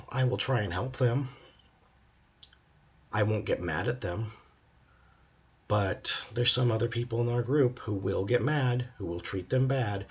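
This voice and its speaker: A hoarse young man, a drama student, talking earnestly and reflectively in a personal video journal. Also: lowish voice, muffled recording. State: hoarse, voice hard to hear.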